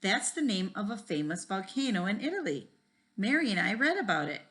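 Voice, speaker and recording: A woman's voice: mid-range voice, very animated, a little fast, a bit bright. A woman voicing an earnest, curious schoolboy detective of about eight in a storybook, eager and inquisitive.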